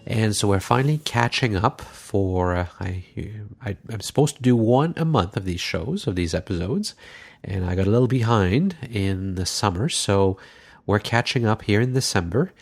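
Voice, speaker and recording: A man hosting a podcast while congested from a cold, talking casually and haltingly with many pauses. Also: lowish voice, expressive. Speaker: podcast host.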